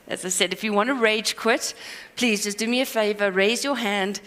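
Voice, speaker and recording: A woman giving a live conference talk, rambling and self-deprecating with quick asides and apologies. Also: medium pitch, pushed and bright. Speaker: conference speaker. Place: conference hall. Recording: hall reverb.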